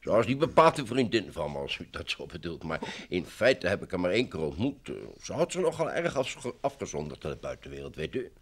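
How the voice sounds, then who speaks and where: Formal official, low animated voice. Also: male voice, brisk, few pauses, exaggerated voice. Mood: curious. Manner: formal, deferential, explanatory. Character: formal, obliging Swiss official, assured and polite. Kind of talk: old Dutch radio drama acting.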